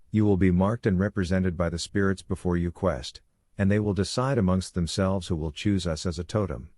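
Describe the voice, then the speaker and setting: A man voicing a calm, authoritative werewolf pack leader who lectures in a measured, instructive way for a fantasy audiobook. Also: deep voice, no room sound, clean studio sound, voice pushed down. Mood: firm instructive.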